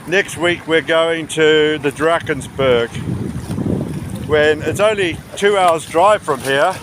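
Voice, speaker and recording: A man giving a casual, rambling travel commentary outdoors by the sea, often pausing mid-sentence. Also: a little high. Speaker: travel vlogger. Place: outdoors on a rocky seashore by tidal pools. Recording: windy outdoor recording with sea noise. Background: wind and waves.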